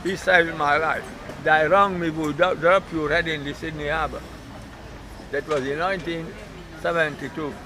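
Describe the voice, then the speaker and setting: Older man on a noisy street. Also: a little high. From Hungary. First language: German. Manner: broken English, repetitive, emphatic. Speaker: interviewee. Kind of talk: casual recorded conversation.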